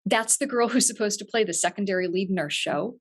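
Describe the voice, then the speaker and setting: A woman's voice: mid-range voice, brisk, slightly rough. A woman who co-hosts a show, bantering casually about a script. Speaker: show creator and co-host.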